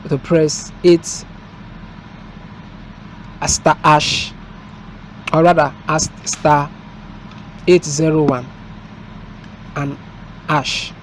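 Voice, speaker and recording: A man giving an unscripted hands-on installation tutorial as a technician, explaining step by step in a halting way. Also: on the high side, little pitch movement. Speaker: technician presenting a product installation. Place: indoors at a desk, filmed from above. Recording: noisy room with street and vehicle sounds.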